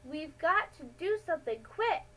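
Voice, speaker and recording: Woman reader as excitable boy sleuth, storybook adventure. High voice, bossy, confident, deliberate pace. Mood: urgent. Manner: confident, commanding, explaining like a detective.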